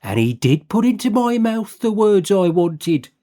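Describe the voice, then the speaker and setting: A man's voice: high voice, sing-song, unhurried, mellow, pitched above the performer's own voice. A man voicing an older male lay preacher who is boastful and self-satisfied, rambling and chuckling as he preaches in a storybook reading. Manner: rambling, pleased with himself, chuckling. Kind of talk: audiobook character voice.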